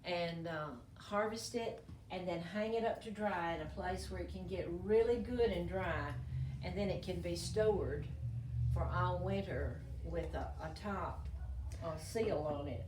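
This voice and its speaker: Older woman, slightly hoarse, low voice, folksy rambling explainer, roomy echo, heard from a distance. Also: a little slow, background noise. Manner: folksy, rambling, many ums and restarts. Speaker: herbal medicine demonstrator. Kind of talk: casual show-and-tell explanation. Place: museum's old doctor's office, indoors. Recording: echoey room, mic at a distance.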